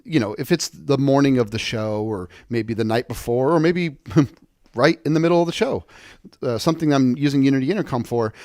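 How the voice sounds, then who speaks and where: Man explaining software, dry close sound. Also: mid-range voice, dry recording. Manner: explanatory, rephrases and reiterates points. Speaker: software tutorial presenter. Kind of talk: unscripted screen-share walkthrough.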